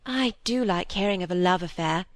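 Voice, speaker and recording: A woman's voice: fairly low pitch, brisk, no echo, lightly acted. A woman voicing a timid, sentimental older spinster from a storybook in a tender, piping voice. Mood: tender, wistful.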